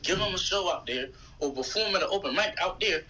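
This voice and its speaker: A man from Philadelphia talks as a podcast guest in a slangy, informal way. His voice is very bright and forward, pitched a little high. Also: expressive, brisk, low-quality recording. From Philadelphia, United States. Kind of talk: casual chat.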